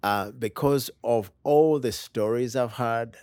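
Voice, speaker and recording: A man from Uganda, a pastor as a podcast guest, talking in an upbeat, warm way and laughing easily in a studio. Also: mid-range voice, slow, clear, resonant tone, dry recording. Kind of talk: interview conversation.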